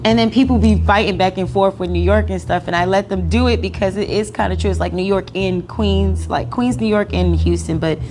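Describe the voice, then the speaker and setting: A young adult woman talking casually as an interview guest, animated and full of slang, laughing often. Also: mid-range voice, brisk, few pauses. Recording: noisy background.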